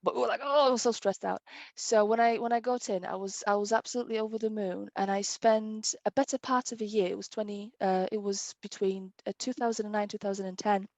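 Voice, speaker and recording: A woman in her thirties from Poland, whose first language is Polish, recounts her path into interpreting with bright enthusiasm and quick laughs. Her voice sits at an ordinary middle pitch, and the recording is dry and close. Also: no room sound. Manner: enthusiastic, animated, laughs often; self-described competitive. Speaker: interpreter, guest. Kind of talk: casual interview storytelling.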